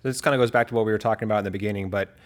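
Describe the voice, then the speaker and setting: A man's voice: fairly low pitch, steady tone, rapid-fire. A man hosting a podcast who asks curious, eager questions in a casual way. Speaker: podcast host, flight student.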